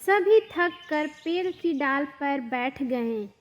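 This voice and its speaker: A woman's voice: high-pitched, lively intonation, slow pace, long reverb tail, dull audio. A woman narrating a scripted children's story as a storyteller.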